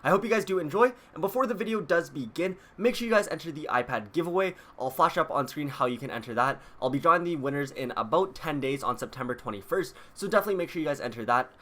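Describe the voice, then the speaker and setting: Bright-voiced man doing casual video commentary. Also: fairly high pitch, expressive, fast talker, few pauses. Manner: chatty, explanatory, addresses viewers directly. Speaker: video host.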